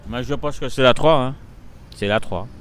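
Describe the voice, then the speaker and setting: A man's voice: medium pitch, normal speed. A man from the Antilles answering a street quiz eagerly and keen to win. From French Antilles. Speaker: passer-by.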